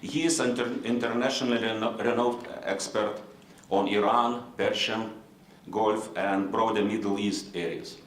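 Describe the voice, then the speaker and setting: A man with a Lithuanian accent moderating a conference panel in formal, halting English, with many fillers and restarts. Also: lowish voice, fairly even intonation, reverberant, slight background noise, poor audio. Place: conference room.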